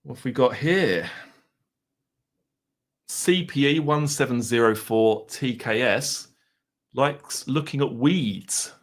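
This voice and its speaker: A man with an Essex accent hosts a live stream in a loose, rambling way. His pitch sits in the middle of the usual range. He drifts between banter with viewers and reading out their messages, with the odd mild swear word. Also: takes it slowly, long pauses.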